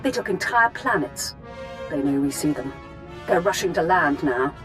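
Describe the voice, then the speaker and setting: A ruthless dark-side commander in a space fantasy game. Her low voice snaps out menacing military orders over light background music. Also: expressive, moderate pace. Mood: commanding. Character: ruthless female Sith commander directing a war. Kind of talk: dramatic video game voice acting.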